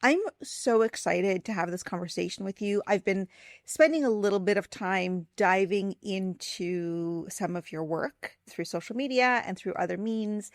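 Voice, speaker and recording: A woman's voice: medium pitch, a little slow, no echo, studio quality. A woman hosting a podcast and interviewing a guest in a conversational style.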